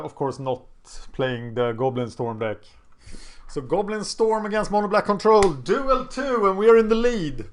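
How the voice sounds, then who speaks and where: Swedish-accented man narrating his card game. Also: sits high. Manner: thinking aloud, chatty.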